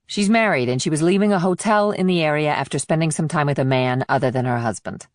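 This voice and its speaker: A woman's voice: low-pitched, fast, no room sound, natural voice. A woman voicing an insistent, businesslike female investigator in audiobook dialogue, firm and explanatory.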